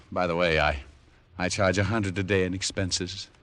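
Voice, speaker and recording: An actor playing a breezy, wisecracking American private detective with a glib, wry delivery, in an old-time radio drama. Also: male voice, sits low, unhurried, heavy background noise. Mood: businesslike.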